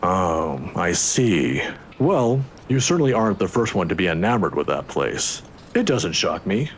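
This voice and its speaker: A woman voicing a kindly old male professor, courteous and gently teasing, for a visual novel. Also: a bass voice, sing-song, measured pace, slight background noise, pitched below the performer's own voice, exaggerated voice. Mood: curious, genial.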